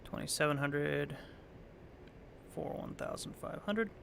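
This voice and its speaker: A middle-aged man with a hoarse, raspy voice that sits a little high and crackles with gravel. He thinks aloud at an easy pace while playing a flight simulator game. A faint hiss sits under the recording. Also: unhurried, fairly bright tone. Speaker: flight sim streamer. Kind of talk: casual gameplay commentary.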